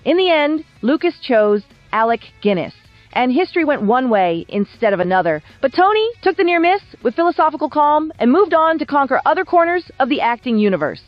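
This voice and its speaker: High, lively woman narrating over music. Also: a little fast, hardly any pauses, no room sound, dull audio. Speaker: documentary narrator. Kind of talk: scripted biographical narration. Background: background music.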